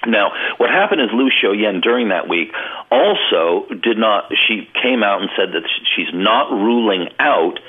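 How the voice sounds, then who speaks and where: A man co-hosting a news talk show, giving political commentary in a hesitant way with many pauses and restarts, over a phone line. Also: fairly low pitch, animated, husky.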